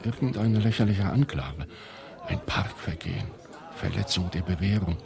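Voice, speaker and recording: Low and gravelly, a weary, grim male friend in a radio drama. He speaks tersely with a crowd murmuring behind him. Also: lively intonation, moderate pace. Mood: grim, resigned. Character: weary friend bringing bad news of an arrest.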